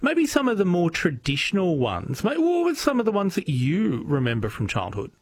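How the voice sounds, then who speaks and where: A man's voice: high-pitched, wildly swooping pitch, moderate pace, slightly rough. An Australian man hosting a casual radio chat, upbeat and chatty, laughing easily. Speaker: radio host.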